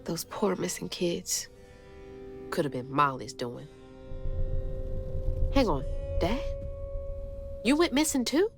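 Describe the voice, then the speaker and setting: A woman's voice: on the low side, sing-song, slow, gravelly, long pauses, heavily acted. An actress playing a determined, earnest young woman with an American Southern accent in a video game cutscene. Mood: curious, uneasy. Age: young adult. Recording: loud music under the voice.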